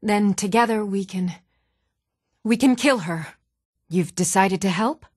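Actress as an even-toned young fantasy woman, close and dry. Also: medium pitch, no echo, natural voice. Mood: resolute, troubled. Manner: measured, serious delivery. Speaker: voice actor. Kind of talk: video game character dialogue.

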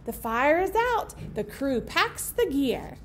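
Woman in her thirties, high-pitched, very animated, children's librarian, encouraging, read-aloud style, background noise. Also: slow. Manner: encouraging, simple child-directed phrasing. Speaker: children's librarian, storytime host. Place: indoor library program room.

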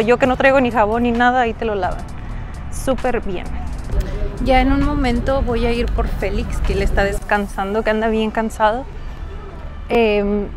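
A woman from Mexico giving a casual, slangy walking tour and chatting informally to her viewers. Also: mid-range voice. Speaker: travel vlogger and host. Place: mostly outdoors, walking around an accommodation village.

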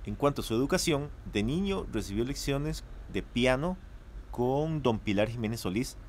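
A man plays a young male student with a Costa Rican accent, earnestly presenting to his class in an educational radio play. His pitch is average and there is slight background noise. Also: lively intonation, moderate pace, lightly acted.

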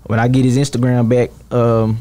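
Young male rapper guest, relaxed and rambling, mellow voice, studio mic. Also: mid-range voice, brisk. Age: 20s. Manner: laid-back, heavy on "you know what I'm saying". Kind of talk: casual interview talk. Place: podcast studio. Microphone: studio mic, headphones.

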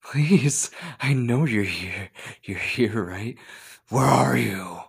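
A man's voice: medium pitch, slow, creaky, slightly rough, exaggerated voice. A man playing a possessive, obsessive stalker in an ASMR roleplay, menacing and coaxing, often dropping to a whisper. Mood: desperate, frantic. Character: possessive, obsessive male stalker hunting his beloved.

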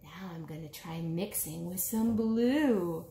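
Woman voicing a curious little storybook rabbit, very unhurried. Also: lowish voice, warm tone, pitched below the performer's own voice. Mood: musing. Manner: musing aloud, exclaiming. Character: curious, playful little rabbit experimenting with paint. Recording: roomy small-room echo.

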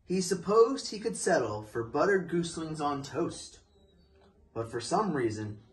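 A young man reading a children's picture book aloud in an expressive, deliberate storytelling manner. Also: a little high. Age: young adult. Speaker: storybook reader. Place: living room, indoors.

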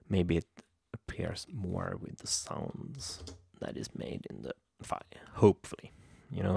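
Low gravelly Swedish-accented man. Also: a little mellow, dry recording, clean studio sound. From Sweden. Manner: hesitant, many ums and restarts, nervous. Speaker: software tutorial maker. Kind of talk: screen-recorded software tutorial. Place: home room at a computer.

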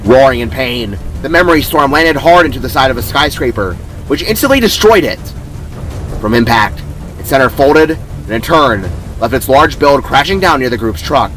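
Animated man narrating an adventure story. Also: mid-range voice, normal speed, slight background noise, poor audio. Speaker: narrator reading own story. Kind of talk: dramatic scripted narration.

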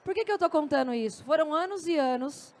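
A Brazilian woman with a notably high-pitched voice speaks on stage into a handheld microphone. She talks in a conversational way, telling anecdotes as a presenter at a festival. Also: expressive, fairly quick. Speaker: journalist and speaker.

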